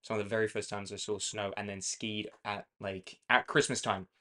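A man's voice: lowish voice, level tone, moderate pace, bright, forceful tone. A young Australian man co-hosting a podcast, talking in a rambling, self-correcting way and laughing easily. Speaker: podcast co-host. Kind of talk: casual chat.

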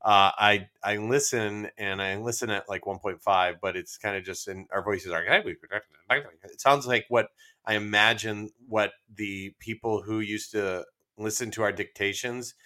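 A male podcast co-host with a Midwestern American accent speaks in casual, joking banter. His voice sits a little low and has a bright, forceful edge. He likes to tease as he talks. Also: steady tone, a little slow. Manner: teasing.

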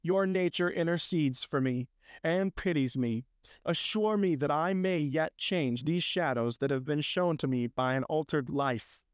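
A man's voice: on the high side, measured pace, clean tone, dry recording, studio quality, natural voice. A man voicing a repentant old miser in a storybook reading, fervent and exclamatory. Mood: desperate pleading. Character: repentant old miser, pleading then giddily overjoyed.

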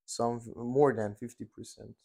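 A man's voice: medium pitch, steady tone, moderate pace, creaky, mellow. A young man in his twenties from Iran, a dental student answering interview questions in a hesitant way, with many fillers and restarts. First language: Persian. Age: 20s.

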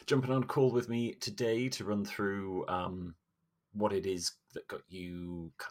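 A man hosting a casual podcast interview, chatty and quick to laugh. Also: on the low side. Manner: chatty, laughs easily, lively delivery. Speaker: podcast host.

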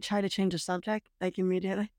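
A woman's voice: medium pitch, level tone, fast talker, a little mellow, no room sound, clean studio sound. Hesitant, reflective woman chatting casually. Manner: hesitant, reflective, many fillers and restarts, occasional laughs. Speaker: guest, host's sister. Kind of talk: casual conversation. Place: parents' house.

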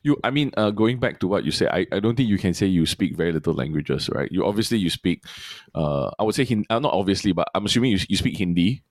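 A man's voice: low-pitched, animated, fast, few pauses, no echo, clean studio sound. A man from Singapore hosting an interview, asking curious, rambling questions with frequent restarts. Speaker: interview host. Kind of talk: casual interview chat.

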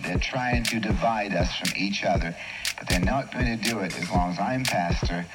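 Forceful old archive preacher, man. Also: lowish voice, normal speed. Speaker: pastor. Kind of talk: preaching from the pulpit. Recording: old archive clip from far off, loud music over it. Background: loud music.

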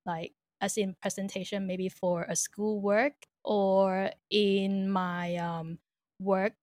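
A woman's voice: mid-range voice, flat delivery, takes it slowly, a little mellow, dry recording, clean studio sound. A woman interviewing a guest in a casual talk, asking her question hesitantly and searching for the right words.

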